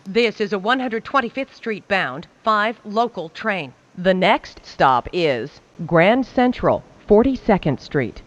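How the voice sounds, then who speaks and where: Female, subway announcer, slow pace, varied intonation, scripted, carefully enunciated phrases. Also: mid-range voice, lively intonation. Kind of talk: scripted automated transit announcements.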